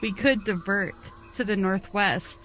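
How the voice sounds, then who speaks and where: A woman's voice: medium pitch, a little slow, a bit bright, muffled recording, a little hiss or hum. A woman playing a tabletop game, bantering casually and often cutting in with questions.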